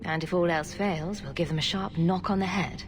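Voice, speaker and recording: A woman playing a calm, diplomatic adventurer in a video game, speaking gently with light teasing. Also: lowish voice, normal speed, music in the background, close to an everyday voice. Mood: calm, wry. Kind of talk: video game dialogue acting.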